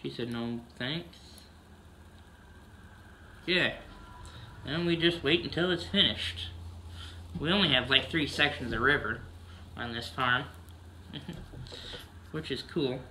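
A man's voice: mid-range voice, takes it slowly, pauses often, pushed and bright, a little hiss or hum, poor audio. A man casually narrating a farming video game, humming "mm-hmm" between steps, recorded on a camera across a room. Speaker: gameplay narrator.

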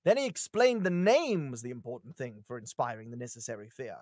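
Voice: mid-range voice, big pitch swings, brisk, darkish tone, no echo, studio quality. A performer reading a hero's lines in a casual comedy table read on a group video call, playful and given to ad-libs. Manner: playful, breaking into laughter and ad-libs.

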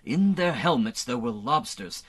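Husky, oblivious space hero, played by a man. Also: medium pitch, expressive, unhurried. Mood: earnest, musing. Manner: earnest, oblivious, melodramatic. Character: breezy, dim-witted heroic Earthman space adventurer. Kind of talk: old-time radio serial acting.

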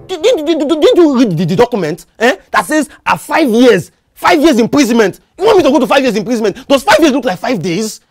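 A performer playing a scheming, two-faced caretaker with a Nigerian accent, who stammers and coaxes to calm people down. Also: sits high, a little fast, hardly any pauses, faint music behind, exaggerated voice. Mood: pleading. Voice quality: wheedling, stammering, pleading.

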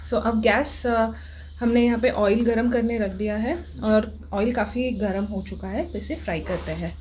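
A woman's voice: a little high, measured pace, darkish tone, a little hiss or hum. A woman in her thirties hosting a home cooking show, chatting casually and asking guiding questions. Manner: encouraging, asks guiding questions. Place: home kitchen, indoors.

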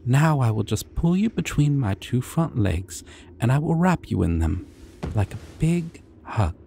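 A gentle, bashful dragon, acted by a man in a fantasy ASMR roleplay. He talks slowly and hesitantly in a mellow, soothing voice, eager to please. There is a faint noise in the background. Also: medium pitch, sing-song, gravelly. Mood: gentle, reassuring. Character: shy, sweet, gentle young male dragon, eager to please.

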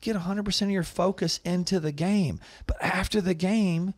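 A middle-aged man hosting a podcast in a studio set on a boom mic, talking casually and growing animated and emphatic as he makes his points. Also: moderate pace, dry recording. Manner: animated, gets loud and emphatic when making a point. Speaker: podcast host, business owner. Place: studio set with wooden walls and shelves. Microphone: boom mic with headphones.

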